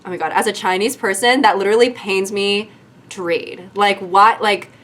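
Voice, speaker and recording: Sarcastic woman doing casual vlog commentary, mid-pitched and chatty. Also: fairly even intonation, moderate pace, a bit bright. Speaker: YouTuber.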